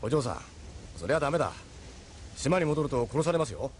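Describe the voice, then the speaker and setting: Audio drama captain, male actor: confident, courteous but gruff, fast and animated delivery. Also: medium pitch, slight background noise, lightly acted. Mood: polite, assured. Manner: gruff familiar orders, polite to guests. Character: brisk, confident male ship's captain, commanding yet courteous. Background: faint music.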